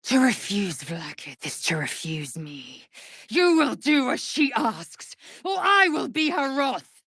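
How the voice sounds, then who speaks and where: Fierce, imperious alien warrior, wildly varied pitch. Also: female voice, big pitch swings, fairly bright tone, husky, dry recording, full character voice. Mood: menacing. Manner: imperious, clipped, deliberate, contemptuous. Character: fierce proud githyanki warrior woman, imperious and blunt. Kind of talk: video game voice acting.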